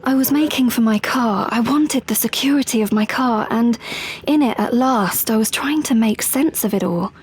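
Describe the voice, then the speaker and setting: Actress as anxious young British woman, high-voiced. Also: moderate pace, hardly any pauses, a little hiss or hum, natural voice. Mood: anxious, confiding. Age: young adult. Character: anxious, defensive young pregnant woman, confiding yet pushy. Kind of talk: audio drama monologue and dialogue.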